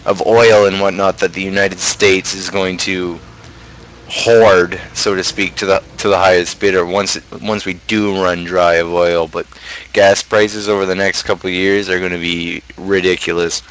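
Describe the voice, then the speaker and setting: A man's voice: low-pitched, flat delivery, moderate pace, a bit bright, slight background noise, low-quality recording. A young adult man chatting casually on a livestream, rambling and opinionated as he rants. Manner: opinionated, ranting. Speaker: livestream host.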